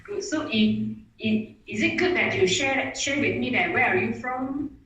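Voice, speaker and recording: A woman with Mandarin as her gives an online presentation. Her voice sits a touch high and sounds off-mic, as if from across a room. Echo rings around every phrase, and she restarts her sentences eagerly. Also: lively intonation, a little slow, a bit bright. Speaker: webinar speaker. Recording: echoey room audio from a distance.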